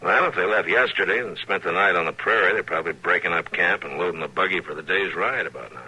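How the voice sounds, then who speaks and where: An actor with an American accent playing a watchful, lonely US marshal in a Western radio drama, speaking in terse, measured lines. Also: male voice, low voice, sharp tone, slightly rough, heavily acted. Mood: calm. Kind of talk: 1950s-60s Western radio drama acting. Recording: noisy old radio broadcast.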